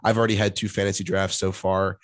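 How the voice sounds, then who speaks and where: A congested man co-hosts a casual sports podcast. His voice sits low and barely moves in pitch. The sound is dry, with no room reflections. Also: quick pace, no room sound. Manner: monotonous tone. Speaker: podcast co-host.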